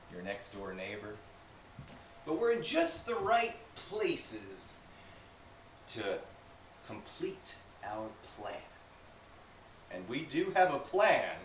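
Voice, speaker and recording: A man's voice: medium pitch, fairly bright tone. A man playing a sly, taunting demon in a theatrical, sinister monologue spoken to the viewer. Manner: slow deliberate delivery with sinister chuckles and sudden swells. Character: sly, taunting male demon possessing a human, theatrical vlogger. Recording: roomy, distant mic with faint noise.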